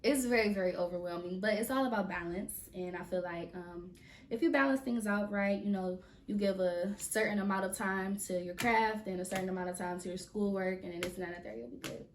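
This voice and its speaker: A woman's voice: medium pitch, a little fast, a little hiss or hum. Young woman guest from Mississippi, casual and slangy, roomy distant studio sound. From Mississippi, USA. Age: young adult. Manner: relaxed, slangy, emphatic repetitions. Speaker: guest choreographer. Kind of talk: casual interview. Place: podcast studio.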